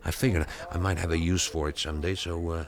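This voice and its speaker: An actor playing a vengeful male war veteran in a 1970s radio drama, calmly and persuasively planning a murder. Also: sits low, fast. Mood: scheming coldly. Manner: cold, persuasive, matter-of-fact about killing.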